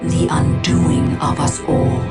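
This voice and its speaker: Alien seeress for a game: proud, portentous, cryptic, unhurried delivery over a dramatic score. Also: female voice, low-pitched, flat delivery. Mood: cryptic, scornful. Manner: solemn, portentous, measured. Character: proud, solemn alien elf seer, cryptic and imperious. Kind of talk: dramatic game cutscene acting. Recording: music behind the voice. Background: dramatic game music.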